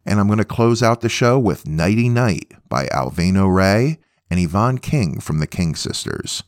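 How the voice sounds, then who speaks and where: Low-voiced man with lively, dry delivery. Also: moderate pace, no echo. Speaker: podcast host. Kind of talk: casual music show hosting.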